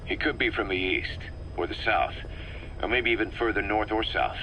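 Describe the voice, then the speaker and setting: An actor playing a hard, suspicious, bitter military commander in a video game, speaking in a terse, accusatory way. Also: male voice, fairly low pitch, moderate pace, pushed and bright. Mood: matter-of-fact, frustrated. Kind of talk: dramatic video game voice acting. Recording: slightly noisy cassette tape recording.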